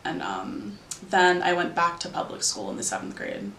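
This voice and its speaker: Gravelly young woman rambling in an echoey room. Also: lowish voice, big pitch swings, moderate pace, a bit bright, slight background noise. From Arizona, US. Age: young adult. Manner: rambling, many fillers. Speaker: interviewee. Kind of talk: casual recollection.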